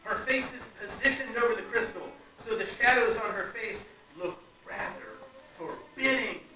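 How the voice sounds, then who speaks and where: A man narrating a radio play as its moderator, reading the script in a theatrical, deliberate storytelling manner. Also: high-pitched. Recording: very echoey, distant, tinny, noisy hall.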